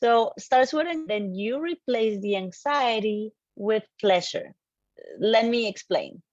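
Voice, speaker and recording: A woman with a Colombian accent and a fairly high voice delivers a motivational talk. She is animated and emphatic, speaking straight to her audience and repeating key phrases. The audio is somewhat dull and lo-fi, with a touch of room echo. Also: a little slow. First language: Spanish. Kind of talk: motivational presentation to a live online audience. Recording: dull, lo-fi online audio, slightly roomy.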